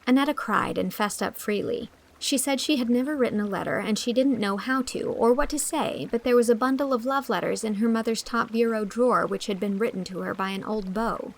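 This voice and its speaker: A woman's voice: on the high side, a little fast, gravelly, hardly any pauses, rough recording. A woman narrating a storybook in the first person, in an acted style.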